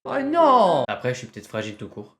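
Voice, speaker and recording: A man's voice: medium pitch, a little fast. A young man casually reading out online quizzes and commenting on them in a playful, self-mocking way. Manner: playful, self-mocking, animated with mock-dramatic asides. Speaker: online video host.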